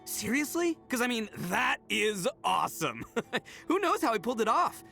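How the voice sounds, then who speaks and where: An actor playing a brash, goofy young horned oni gang leader in a video game, boisterous, boastful and slangy, with theatrical swings. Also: male voice, extremely high pitch, very animated, moderate pace, gravelly, sharp tone, soft background music, exaggerated voice. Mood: impressed, excited. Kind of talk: video game character voice acting.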